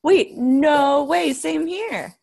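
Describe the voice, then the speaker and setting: A woman's voice: sits high, very slow, creaky, a little mellow. Chatty woman co-hosting a podcast. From Philippines. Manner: bubbly, giggly, interviewing. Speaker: podcast co-host. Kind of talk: casual chat.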